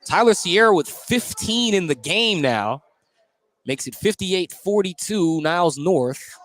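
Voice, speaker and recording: A man's voice: high voice, lively intonation, measured pace, fairly bright tone, no echo. A man doing live radio play-by-play of a basketball game, calling each play in clipped, detailed bursts. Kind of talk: live radio sports commentary. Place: indoor high school gymnasium.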